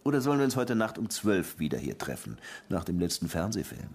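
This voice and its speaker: An actor playing a sardonic, insistent police lieutenant interrogating suspects in a radio crime drama. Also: male voice, low voice, big pitch swings, fairly quick, creaky, heavy background noise, heavily acted. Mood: pressing, sardonic. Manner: dry, insistent, pressing.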